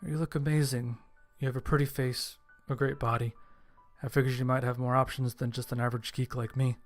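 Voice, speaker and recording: A man acts out an awkward, geeky young guy in an audio drama. His voice is medium-pitched. He sounds hesitant and sheepish, with faint music behind. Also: level tone, a little fast, natural voice. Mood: nervous, sheepish. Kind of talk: amateur audio drama acting. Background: background music.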